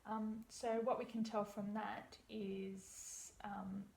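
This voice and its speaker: A woman's voice: medium pitch, flat delivery, slow, gravelly, a little mellow. A young woman explaining an iridology consultation step by step in a reassuring way. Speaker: iridology practitioner. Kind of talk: practice consultation. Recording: echoey room, faint background hum.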